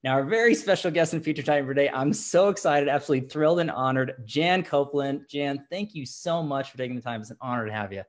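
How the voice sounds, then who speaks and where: A man's voice: medium pitch, fast talker, hardly any pauses. Young male host, upbeat, gushing, home office mic on a video call. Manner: upbeat, effusive, repeats words. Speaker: podcast host. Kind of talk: enthusiastic interview hosting. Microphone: studio mic with headset, video call.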